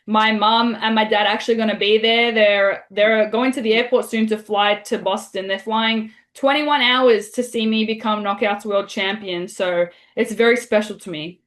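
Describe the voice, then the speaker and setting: A young woman from Australia answering interview questions in a relaxed, chatty way, with many fillers. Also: mid-range voice. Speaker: interview guest.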